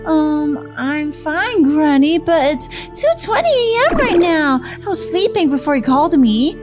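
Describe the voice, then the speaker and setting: A woman voicing a sleepy, dutiful schoolgirl big sister in an animated roleplay story, hesitant and mildly exasperated. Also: high-pitched, unhurried, music in the background.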